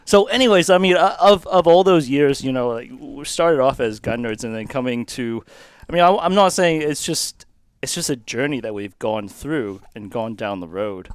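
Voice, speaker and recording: A man's voice: mid-range voice, animated, moderate pace, clean tone, dry recording. Man from Hong Kong, thoughtful guest. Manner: thoughtful, many fillers and restarts. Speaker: guest, YouTube channel co-host. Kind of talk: casual podcast chat. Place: dark studio set with leather chairs.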